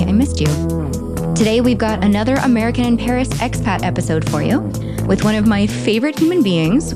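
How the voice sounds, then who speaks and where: A woman in her thirties hosting a podcast in casual, playful chat, often laughing. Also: mid-range voice. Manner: giggly, playful, chatty. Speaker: podcast host. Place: Paris.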